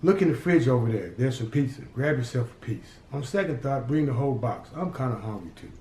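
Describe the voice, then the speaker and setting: A man voicing a calm, loyal henchman who keeps his boss cool and jokes dryly with a kid. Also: medium pitch, fairly quick, slight background noise, close to an everyday voice. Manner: easygoing, placating, dry humor. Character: calm loyal middle-aged male henchman. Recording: roomy echo.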